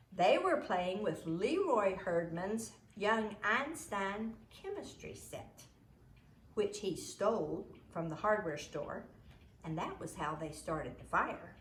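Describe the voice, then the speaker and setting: Woman reading a storybook out loud, slow and really animated, kinda echoey room. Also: mid-range voice, a little hiss or hum. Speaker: parent reading a story aloud. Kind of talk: expressive storybook read-aloud.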